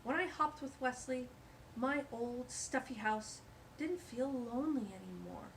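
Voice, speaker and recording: A woman with a fairly high voice reads a children's story aloud. Her delivery is expressive and exclamatory, slipping into chatty asides, with slight noise in the background. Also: measured pace, poor audio. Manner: animated, exclamatory.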